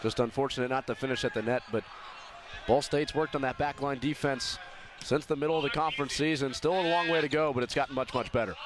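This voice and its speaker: A man's voice: medium pitch, steady tone, fast. An American man giving live volleyball analysis as colour commentator, explaining plays with emphasis on key words. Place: indoor sports arena during a match. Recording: clear gym noise, bouncing balls. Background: arena noise, balls bouncing.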